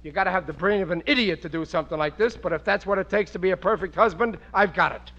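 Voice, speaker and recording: A man's voice: high-pitched, fast, close to an everyday voice. An actor playing a blustering, stammering middle-aged American working-class husband and father in an old radio sitcom. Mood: scheming. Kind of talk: 1940s radio sitcom acting. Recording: muffled, hissy old radio recording.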